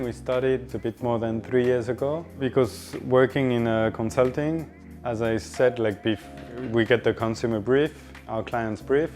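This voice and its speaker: A middle-aged man from northern France with a French accent, a chef speaking informally in an interview in a halting, explanatory way with pauses mid-phrase. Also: fairly low pitch, darkish tone.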